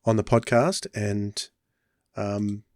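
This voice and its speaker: A man's voice: fairly low pitch, fairly even intonation, slow, a little mellow, dry recording. A man hosting a casual podcast discussion in a conversational way on a close microphone. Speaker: podcast host.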